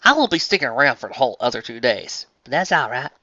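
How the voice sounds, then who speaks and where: A man voicing a blunt, hardworking farmer pony mare with a loud Southern drawl, reading a fan story aloud. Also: mid-range voice, big pitch swings, fast talker, dry recording, lo-fi sound, exaggerated voice. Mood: resigned. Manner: loud twangy drawl, impassioned.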